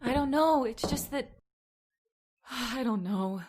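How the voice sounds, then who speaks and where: A woman's voice: on the high side, slow, noisy background. A woman playing an anxious, frightened young woman in old-time radio horror, hesitant and dramatic. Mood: troubled.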